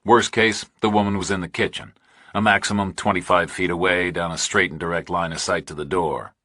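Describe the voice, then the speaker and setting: Male audiobook narrator for thriller fiction: bass register, bright forceful edge, deliberate and exact. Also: fairly even intonation, husky, no room sound, studio quality.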